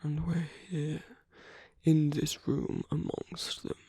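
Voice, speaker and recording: Slow, husky, breathy seductive villain, played by a man. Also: a little high, flat delivery, mellow, no echo, exaggerated voice. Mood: bitter, conspiratorial. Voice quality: breathy, husky, often near whisper. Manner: slow, deliberate, intimate, teasing. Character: seductive, contemptuous villain, suave male romantic rival. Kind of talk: ASMR romance roleplay.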